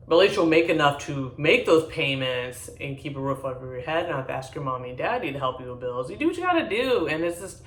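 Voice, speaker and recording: A deep-voiced woman in her forties chatting casually to her viewers, rambling, blunt and candid. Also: fairly bright tone, distant mic. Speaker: vlogger. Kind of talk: casual chat to camera. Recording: echoey room, voice a bit off-mic.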